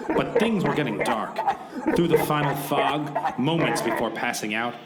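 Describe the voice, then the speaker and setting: A man's voice: mid-range voice, unhurried, heavy background noise, natural voice. An actor playing an earnest, melodramatic hero who narrates his own adventure in an overwrought, theatrical way in a parody radio drama. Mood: dramatic narration. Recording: roomy echo, music underneath.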